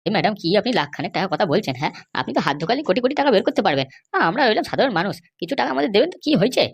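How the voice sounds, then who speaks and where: Cartoon comedy part: chatty, crafty jail constable voiced by a woman, mid-range voice, extremely quick insistent patter. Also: medium pitch, big pitch swings, gravelly, husky, full character voice. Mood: mocking, wheedling. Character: sly male cartoon schemer and bribe-seeking jail constable. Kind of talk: amateur cartoon comedy dubbing.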